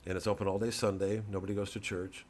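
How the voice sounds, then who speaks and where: A man's voice: low voice, level tone, fast, a little mellow. A man giving a rambling, casual solo monologue as a comedian plugging his work, irreverent, digressive and profane. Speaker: comedian promoting his show and shop.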